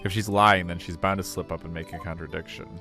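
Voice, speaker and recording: A man's voice: low-pitched, fairly even intonation, quick pace, over music. A man voicing an earnest young defense attorney questioning people in a courtroom video game. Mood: determined. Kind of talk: amateur video game voice acting.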